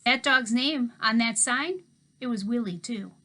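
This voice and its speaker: A woman's voice: a little high, unhurried, bright, forceful tone. A woman doing Mookie, a folksy old drifter. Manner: drawling, plainspoken country talk. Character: folksy old drifter man, easygoing and wise.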